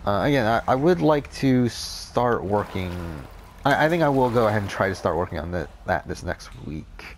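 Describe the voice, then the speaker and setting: A young man with a cold, rambling through casual gameplay commentary, talking off the top of his head with a deep, unclear voice and frequent swearing. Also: lively intonation, normal speed, a little hiss or hum. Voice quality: deep, not clear. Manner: rambling, unscripted, says whatever comes to mind, swears often. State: has a cold. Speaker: Let's Play commentator.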